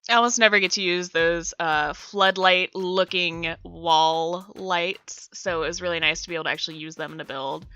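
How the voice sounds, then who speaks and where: Congested woman chatting over soft music. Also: fairly low pitch, clean tone. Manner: chatty, talks straight to viewers. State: congested from a cold. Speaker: video game build creator. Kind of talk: casual voice-over narration. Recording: dull lo-fi voice-over with music behind.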